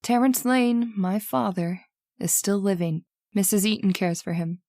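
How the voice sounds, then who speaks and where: A woman's voice: mid-range voice, steady tone, measured pace, warm tone, no room sound, clean studio sound, natural voice. A woman playing a haunted young wife in an old-time radio horror drama, with an earnest, dramatic delivery. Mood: somber, haunted.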